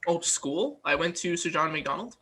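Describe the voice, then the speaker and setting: A man in his twenties, a guest on a casual chat show, talking in a soft-spoken, laid-back way. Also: on the high side, expressive, moderate pace, a little hiss or hum. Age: 20s. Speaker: podcast guest.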